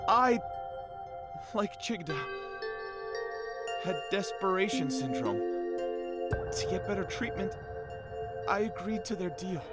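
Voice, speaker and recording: A man's voice: sits high, big pitch swings, slow, pauses often, full character voice. A man voicing an anxious impostor sprite in a video game cutscene, flustered and pleading, with a dramatic delivery. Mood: anxious confession. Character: anxious impostor sprite posing as a male wish master. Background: background music.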